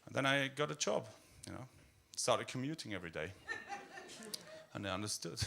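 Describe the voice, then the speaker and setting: A man with an Austrian accent doing a stand-up set in a deadpan, darkly humorous way, full of fillers and hesitations. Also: medium pitch, moderate pace, vocal fry, background noise. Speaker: stand-up comedian. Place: comedy club stage.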